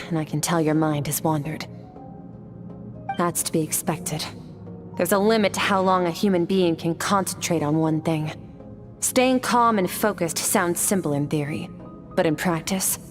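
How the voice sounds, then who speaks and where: A woman plays a composed female sword master from a video game, with a lowish voice. She lays out hard lessons calmly and precisely, never raising her tone. Quiet game music sits behind her. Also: lightly acted. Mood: calm instructive. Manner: calm, measured, instructive. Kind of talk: game voice acting.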